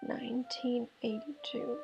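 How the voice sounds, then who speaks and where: A woman's voice: a little high, fairly even intonation, a little slow, gravelly, lo-fi sound, music in the background. A woman playing a story game, thinking aloud in a tentative, musing way as she comments. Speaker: video game player reading dialogue aloud. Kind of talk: let's play commentary and dialogue read-aloud.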